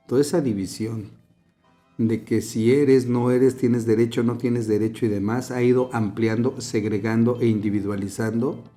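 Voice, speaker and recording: Mexican male host, rambling and filler-heavy, dark mellow timbre. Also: medium pitch. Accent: Mexican Spanish. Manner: rambling, many fillers and restarts. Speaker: podcast host. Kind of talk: political conversation.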